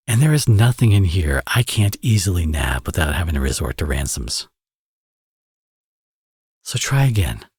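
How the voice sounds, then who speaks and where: Man acting a deep, husky flirtatious villain. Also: darkish tone, no room sound, clean studio sound, full character voice. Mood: sardonic, needling. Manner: teasing, sardonic, drawling with drawn-out phrases. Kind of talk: ASMR romance audio roleplay, intimate acting.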